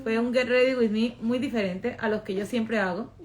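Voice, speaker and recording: A woman's voice: fairly high pitch, steady tone, normal speed. A woman with a Venezuelan accent talking casually and emphatically to her followers in a vlog, repeating phrases for effect. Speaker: social media influencer and vlogger. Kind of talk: casual get-ready-with-me vlog monologue.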